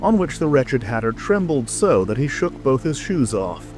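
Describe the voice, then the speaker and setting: A man's voice: mid-range voice, sing-song, normal speed, a little mellow. A man reading a scripted storybook narration as a dramatic audiobook narrator. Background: music.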